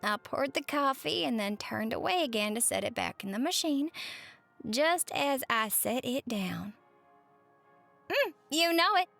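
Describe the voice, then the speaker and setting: Woman playing a cheerful high-voiced Southern waitress. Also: unhurried, vocal fry, faint music behind, heavily acted. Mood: chatty, amused. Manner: folksy, expressive, uses pet names. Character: cheerful, chatty Southern waitress on a diner night shift. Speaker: roleplay audio performer. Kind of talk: roleplay monologue to the listener, chatty storytelling.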